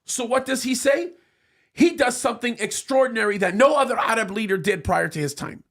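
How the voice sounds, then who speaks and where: A man holds forth in a studio talk show with heated conviction. His voice sits high and carries a gravelly fry. He stumbles over restarts and doubled words as he presses his point. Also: lively intonation, brisk, fairly bright tone. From United States, of Egyptian parents. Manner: emphatic, with many restarts and repeated words.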